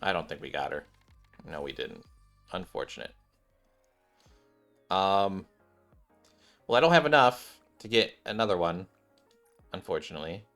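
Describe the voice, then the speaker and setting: A man with a fairly low, bright voice hosts a gaming video. He comments casually and chattily on his play, speaking directly to his viewers. Faint game music runs behind him. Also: lively intonation, a little slow, pauses often. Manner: chatty, addresses viewers as guys.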